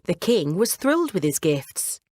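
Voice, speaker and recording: A woman's voice: fairly low pitch, big pitch swings, unhurried, darkish tone, no room sound, clean studio sound. A woman narrating a scripted bedtime story for children as the storyteller.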